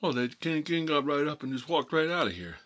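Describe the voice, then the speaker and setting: A man playing a gruff, sardonic mob boss who rambles and repeats himself through mock threats, in an ASMR-style comic monologue with a husky voice. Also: fairly high pitch, sing-song, quick pace, a bit bright, voice pushed up. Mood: accusing, threatening.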